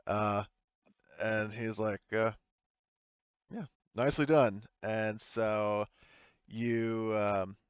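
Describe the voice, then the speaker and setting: A man's voice: fairly low pitch, fairly even intonation, extremely slow, long pauses, clear, resonant tone, no echo, highs cut off. A man narrating a tabletop game as game master in a casual, hesitant, improvised way, explaining as he goes.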